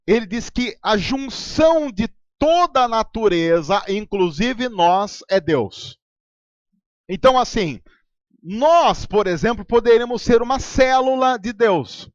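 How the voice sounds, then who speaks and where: A man's voice: extremely high pitch, lively intonation, measured pace, dry recording, muffled recording. A man preaching a sermon as pastor in a Brazilian Portuguese accent, talking conversationally with sarcastic asides and ironic voices. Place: church hall.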